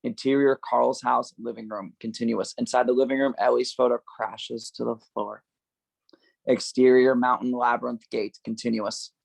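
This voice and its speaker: A man narrating a screenplay's stage directions at a table read, with a gravelly voice. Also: medium pitch, little pitch movement, normal speed, no room sound, low-quality recording.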